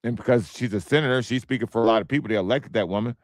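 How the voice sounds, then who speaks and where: A man hosting his own podcast in casual, blunt commentary, repeating himself, with a hoarse, strained voice as he loses it. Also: medium pitch, racing, no room sound, clean studio sound. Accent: American. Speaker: podcast host.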